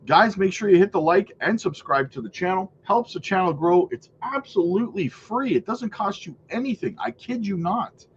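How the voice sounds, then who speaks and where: A man's voice: sits high, moderate pace, hardly any pauses, dull audio, a little hiss or hum. A man with a stuffy cold hosting a live crypto stream, walking through price charts and greeting viewers in an upbeat way, often tagging "right?" and "man". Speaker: crypto livestream host. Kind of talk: live chart analysis and chat shout-outs.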